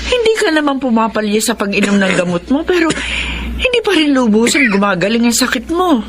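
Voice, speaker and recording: An actress playing a gentle, worried middle-aged mother from the provinces in a Filipino radio drama. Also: fairly high pitch, big pitch swings, fairly quick, slight background noise.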